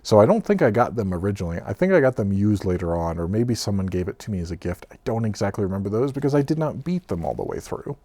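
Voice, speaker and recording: Low-voiced man telling a rambling personal story. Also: animated, quick pace, few pauses. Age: 30s. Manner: casual, rambling, laughs often, trails off quietly. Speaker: vlogger. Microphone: own mic, off-axis at times.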